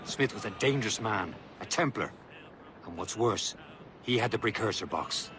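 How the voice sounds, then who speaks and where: Guy playing a stern assassin comrade in a game, lowish voice, noisy outdoor background. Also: lively intonation, normal speed, natural voice. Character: stern, resolute male assassin, senior comrade. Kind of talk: dramatic video game acting.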